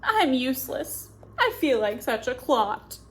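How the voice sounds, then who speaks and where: A woman's voice: high voice, big pitch swings, unhurried, fairly bright tone, full character voice. A woman voicing a clumsy, sensitive male giraffe in a storybook, earnest and heartfelt. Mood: dejected.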